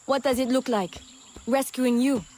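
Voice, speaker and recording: A woman's voice: high voice, a little slow, darkish tone. A woman playing a bold, sardonic female smuggler and scout in a video game, confident and playfully wry. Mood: wry, urgent. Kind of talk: video game character acting.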